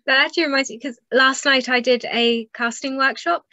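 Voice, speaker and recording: A young adult woman tells a quick anecdote with fast, excited chatter. Her voice is high and bright. The lo-fi mic makes it sound dull and dry. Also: dry recording. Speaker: podcast co-host. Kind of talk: casual anecdote.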